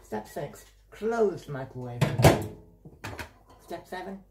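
Teen boy, home phone vlog: slow, bouncy, mock-serious step narration over heavy background noise. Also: a little high, sing-song. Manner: mock-serious, announcing each step, counting down aloud. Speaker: vlogger. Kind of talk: playful step-by-step cooking vlog. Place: home kitchen and bedroom, indoors. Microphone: handheld phone camera.

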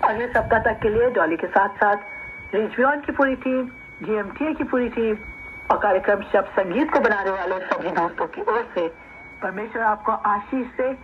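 A woman's voice: mid-range voice, moderate pace, slight background noise. Woman presenter on dull shortwave radio. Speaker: religious radio program host.

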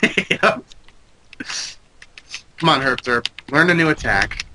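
A man's voice: mid-range voice, lively intonation, slow pace, background noise. Congested man ranting over keyboard clicks. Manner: joking, profane, ranty. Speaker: let's play co-host. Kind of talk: casual gaming commentary. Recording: loud keyboard typing behind. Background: computer keyboard clicks.

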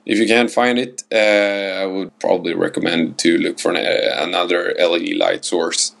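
Deep, gravelly-voiced man with a Scandinavian accent, halting tutorial voice-over. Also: flat delivery, a bit bright. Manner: hesitant, many fillers. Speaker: sailboat owner vlogger. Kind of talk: voice-over how-to narration.

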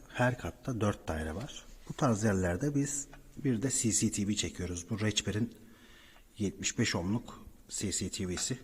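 Low-voiced man in an echoing hallway. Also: a little mellow. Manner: explanatory, addressing viewers directly. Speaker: repair technician presenter. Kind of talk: informal on-site repair walkthrough. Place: indoors, apartment building hallway by cable shafts. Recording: reverberant stairwell, clear background noise.

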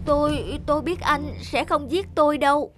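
A woman's voice: sits high, moderate pace, fairly bright tone. A woman voicing a small, timid, earnest cartoon mouse with a hesitant, pleading manner. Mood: scared, pleading. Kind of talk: animated moral fable dubbing. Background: music.

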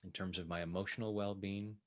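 A man working as a tapping coach, leading guided affirmations in short, measured phrases meant to be repeated. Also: deep voice, flat delivery.

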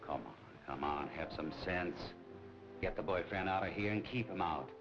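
An actor playing a hard, middle-aged nightclub racketeer, curt and slangy, in 1940s crime film style. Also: male voice, low-pitched, moderate pace. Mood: menacing. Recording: dull 1940 film soundtrack, music behind.

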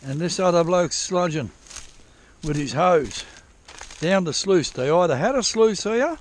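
Aussie bloke rambling and swearing out in the bush, head cam, bit of outdoor hiss behind. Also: male voice, high-pitched, lively intonation, unhurried. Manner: rambling, self-correcting, swears casually. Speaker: prospecting vlogger. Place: bush forest outdoors, old diggings. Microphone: head-mounted action camera.

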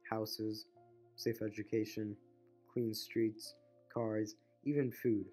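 A man's voice: fairly low pitch, level tone, slow pace, warm tone. A teenage male student narrating a documentary in an earnest, read-aloud voice. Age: teens. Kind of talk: scripted narration. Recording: voice-over over background music.

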